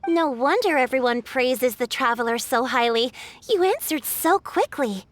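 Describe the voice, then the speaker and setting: A woman's voice: sits high, expressive, normal speed. A woman playing an eager, friendly girl in a game, speaking in a forthright, encouraging way. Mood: admiring. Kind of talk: scripted game dialogue.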